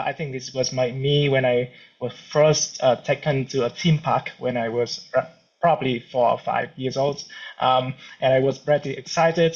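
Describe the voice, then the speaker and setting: A man, giving an academic seminar talk in a halting, relaxed way with frequent restarts. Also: mid-range voice. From Vietnam. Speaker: cosmology researcher giving a seminar. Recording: dull lo-fi recording.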